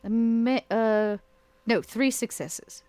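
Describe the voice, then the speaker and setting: A player speaking slowly and deliberately, in character during a tabletop game. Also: medium pitch, darkish tone, no echo. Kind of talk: in-character play.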